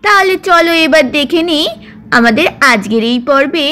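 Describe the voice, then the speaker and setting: High-voiced woman narrating a children's doll story. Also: animated, normal speed, sharp tone.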